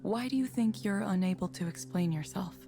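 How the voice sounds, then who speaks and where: A woman voicing a calm, neutral AI counselling program in a game, with a measured, scripted manner. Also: mid-range voice, faint music behind, lightly acted.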